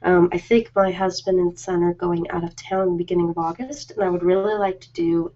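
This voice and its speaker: A woman's voice: fairly low pitch, little pitch movement, dark tone. Rambling woman on muffled audio. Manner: rambling, informal, hesitant pauses. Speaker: ASMR host. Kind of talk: casual live chat to viewers.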